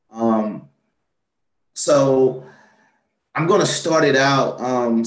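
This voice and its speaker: Tired guy hosting a chat show, voice kinda worn, rambles w fillers, roomy echo. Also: medium pitch. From Atlanta, Georgia. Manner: rambling, many fillers and restarts. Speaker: podcast host. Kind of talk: casual political chat show.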